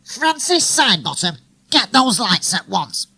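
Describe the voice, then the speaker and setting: A man's voice: high-pitched, very animated, a little slow, creaky, pushed and bright, breathy edge, dry recording, voice pushed up, heavily acted. A man voicing a stern, exasperated mum who scolds her son in a comic story. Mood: scolding.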